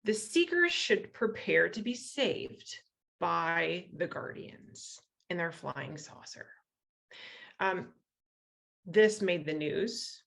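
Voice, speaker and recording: A woman's voice: lowish voice, animated, slow, gravelly, a bit bright. A woman from western Iowa with an American accent gives an explanatory public talk as a guest lecturer, conversational and checking in with rhetorical questions. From western Iowa, United States.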